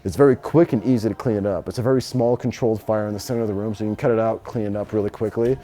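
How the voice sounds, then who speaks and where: A young American man with a deep, soft-edged voice talks casually in an interview. His speech is blunt and full of slang, and he swears freely. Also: fairly even intonation, rapid-fire. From Los Angeles, United States. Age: 20s. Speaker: interviewee, business owner.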